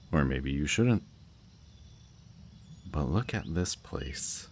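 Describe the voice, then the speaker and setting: Casting: male actor as suave villain kidnapper for roleplay audio, extremely low voice, slow teasing menace, night birds and crickets behind. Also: a little hiss or hum. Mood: teasing, coaxing. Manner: teasing, unhurried, softly menacing. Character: villain kidnapper with powers, smug, flirtatious, falsely romantic. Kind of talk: roleplay audio drama, intimate monologue to the listener. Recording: outdoor night bird and insect ambience.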